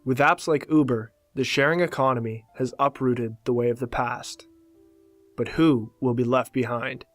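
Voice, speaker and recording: A man giving scripted documentary narration in a measured, deliberate way. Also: mid-range voice, steady tone, soft background music.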